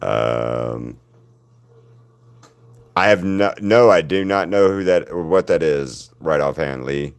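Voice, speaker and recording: A man with a deep voice, chatting casually with viewers while he paints on a livestream. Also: lively intonation, slow, long pauses, smooth. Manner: rambling, answers viewers' chat by name. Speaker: artist hosting a livestream.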